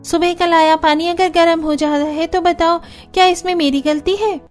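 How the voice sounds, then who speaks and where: Woman voicing a very high-pitched young Indian sister-in-law. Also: steady tone, moderate pace, soft background music. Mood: defensive, explaining. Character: young Indian sister-in-law in poor household, earnest and helpful. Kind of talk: Hindi cartoon story dialogue.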